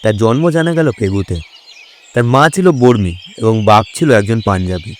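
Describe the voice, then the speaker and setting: A man's voice: lowish voice, normal speed, a little hiss or hum. A man narrating a scripted detective story.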